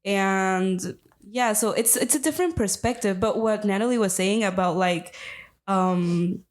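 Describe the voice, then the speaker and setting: A woman's voice: medium pitch, a little slow. Hesitant woman. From Mexico. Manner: hesitant, many fillers and restarts. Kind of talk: casual chat.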